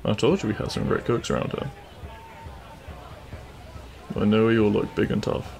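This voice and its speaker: A man playing a cheeky, kind-hearted bandit prince in an intimate audio roleplay, with a gravelly voice and a teasing, reassuring manner. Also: fairly low pitch, very animated, normal speed, a little mellow. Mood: cheerful.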